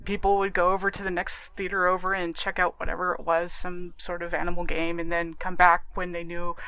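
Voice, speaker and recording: Woman cohost on a podcast, bright clear voice, kinda analytical and measured but still chatty. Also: on the low side, level tone, poor audio. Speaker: podcast co-host. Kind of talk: casual analytical podcast discussion.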